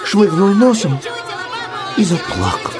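A man tells a children's story with an animated, widely moving voice. He reads as an expressive narrator, with music playing behind him. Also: sits high, slow pace, a loud crowd.

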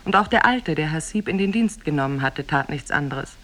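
An actress narrating an Arabian Nights tale in a measured, formal storytelling manner for a 1950s German radio play. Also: female voice, low-pitched, animated, fairly bright tone.

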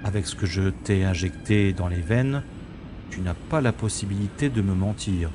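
A man playing a sardonic special agent in a science-fiction audio drama, with a mocking, theatrical and deliberate delivery. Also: low-pitched, background noise, natural voice. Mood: musing, scornful. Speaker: lead actor and narrator of audio drama. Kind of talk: science-fiction audio drama acting with inner-monologue narration.

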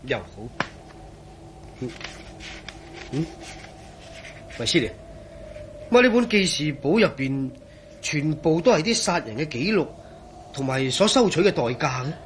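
Genial engineer, highish voice, lively expression. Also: male voice, measured pace, long pauses, noisy background. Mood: puzzled, surprised. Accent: Hong Kong Cantonese. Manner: chummy, expressive. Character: genial, easygoing male engineer and old friend. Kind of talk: radio drama acting.

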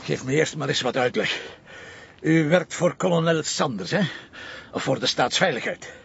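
An actor playing a shrewd, probing middle-aged police commissioner with a Flemish accent, talking in a dry, insistent way. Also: male voice, expressive, moderate pace, gravelly, a bit bright, breathy edge, heavily acted. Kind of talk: television crime drama. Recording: dull lo-fi TV audio with faint rumble.

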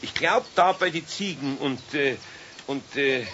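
A man's voice: on the high side, unhurried, lightly acted. An actor playing a good-natured, flustered older craftsman with a Bavarian accent, hesitant and muttering, in a children's radio play. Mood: awkward, evasive. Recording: dull 1970s radio recording, faint noise.

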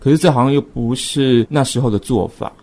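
A man's voice: medium pitch, a little fast, darkish tone. A man with a Taiwanese Mandarin accent hosting a conversational radio discussion, talkative and reasoning aloud. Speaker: radio host.

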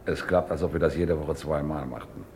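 An actor playing a cool, efficient aide to a scheming financier in a vintage German radio crime play, dry and precise. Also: male voice, rumbling low, fairly quick, gravelly, a little mellow, slight background noise. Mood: crisp reporting.

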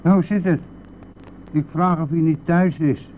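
An actor playing a cheeky, nosy, grumbling village bus driver in a radio play, with a teasing, blustering manner. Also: male voice, fairly high pitch, fairly even intonation, measured pace, lightly acted. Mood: prying. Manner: teasing, blustering, chuckling at own jokes. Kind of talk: Dutch radio play acting. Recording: tinny narrow-band old radio recording, vehicle noise.

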